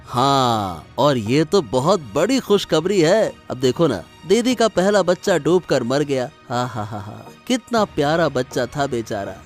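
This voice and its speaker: A man voicing a naive, mild-tempered, slow-witted young brother in a Hindi cartoon moral story, puzzled and protesting in manner. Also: mid-range voice, sing-song, faint music behind. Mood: sympathetic.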